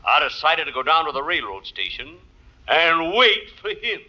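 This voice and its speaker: A man playing a town marshal who narrates with wry, mock-solemn deadpan in an American radio comedy. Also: on the high side, full character voice. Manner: deadpan, measured comic pauses. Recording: muffled, noisy old radio recording.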